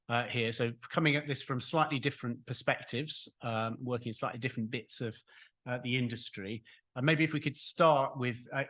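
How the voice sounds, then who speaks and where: A man's voice: mid-range voice, fairly bright tone. Hesitant man moderating a panel. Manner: hesitant, many restarts and fillers.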